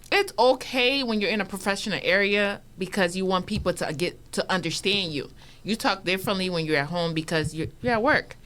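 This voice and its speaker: A woman on a casual studio panel who talks in an animated way, laughs often and acts out the remarks she quotes. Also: mid-range voice. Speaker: panel co-host. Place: community media studio.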